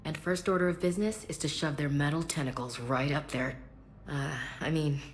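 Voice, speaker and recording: Woman acting a low-voiced, candid soldier. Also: fairly even intonation, moderate pace, a little hiss or hum, natural voice. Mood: resolute, wry. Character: tough, candid female soldier, frank and dryly humorous. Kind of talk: video game voice acting.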